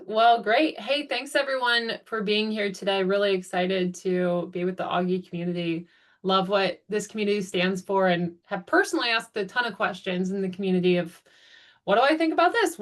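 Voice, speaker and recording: A woman's voice: mid-range voice, a bit bright. A woman in her thirties giving an upbeat, chatty presentation over a video call, with frequent ums. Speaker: guest speaker, travel company founder. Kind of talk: webinar presentation.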